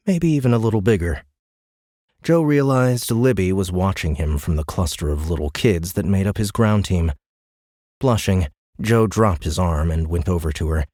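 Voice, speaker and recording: A man's voice: low voice, a little mellow, no room sound, clean studio sound. A man reading scripted narration of a science fiction novel as an audiobook narrator. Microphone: close studio microphone.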